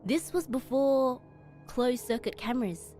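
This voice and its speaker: A woman streamer reading a horror story aloud in expressive, dramatic narration with emphatic pauses. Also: high-pitched. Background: background music.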